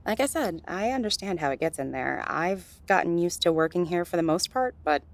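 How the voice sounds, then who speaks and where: Woman acting a soft-creaky, flirtatious gallery worker. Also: fairly low pitch, animated, fairly quick. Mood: friendly, relaxed. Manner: soothing, teasing, chatty. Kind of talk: intimate audio roleplay addressed to the listener.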